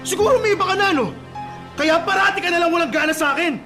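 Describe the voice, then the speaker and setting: A man's voice: extremely high pitch, fast talker, over music. A man playing a domineering, jealous husband in a Filipino radio drama, with a Filipino accent. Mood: angry, accusing. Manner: coaxing murmurs turning to aggressive demands. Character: domineering, jealous husband, lustful then violently angry.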